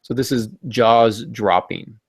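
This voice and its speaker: A man's voice: medium pitch, steady tone, takes it slowly, slightly rough, no echo. A man co-hosting a podcast, chatting casually about writing craft and jokingly acting out quotes. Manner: jokey, acts out mock quotes. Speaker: podcast co-host. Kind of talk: casual writing-advice discussion.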